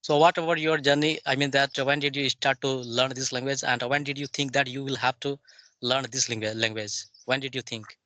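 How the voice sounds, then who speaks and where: A man with an East Indian accent practising English conversation in a formal, deferential and somewhat halting manner. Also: mid-range voice, steady tone, bright, forceful tone, no room sound. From Jharkhand, India.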